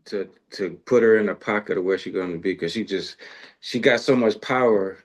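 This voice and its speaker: A man's voice: on the low side, brisk. A man in casual conversation about music as a show host, using informal, colloquial phrasing.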